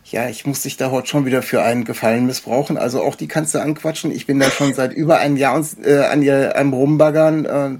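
A man hosting a podcast in a casual interview, talking in a halting, rambling way with a husky voice. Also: medium pitch, steady tone, a little mellow. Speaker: podcast host.

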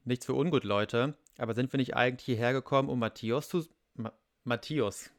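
A man's voice: mid-range voice, a little fast, slight background noise. A man reading scripted dialogue in a conversational way, with stumbles. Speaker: podcast member in audio drama outtakes.

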